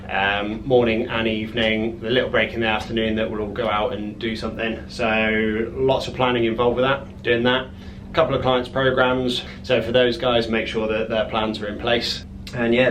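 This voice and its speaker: A man, a personal trainer talking through his day in a relaxed, rambling vlog with frequent ums. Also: medium pitch, normal speed, slight background noise, poor audio. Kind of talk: casual vlog narration. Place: home, car and outdoor walk.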